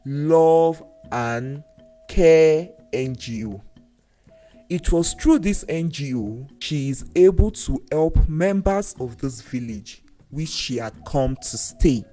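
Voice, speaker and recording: A storyteller narrating a scripted folktale in a deliberate, dramatic way, with pauses between phrases. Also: medium pitch, warm tone. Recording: voice over faint music. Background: faint background music.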